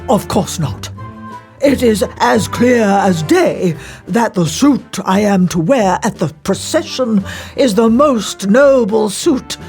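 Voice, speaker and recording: Woman putting on a pompous, drawn-out storybook emperor. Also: mid-range voice, lively intonation, darkish tone, faint music behind. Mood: anxious self-reassurance. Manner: boastful, grandly drawn-out delivery. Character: pompous, vain, deluded male emperor.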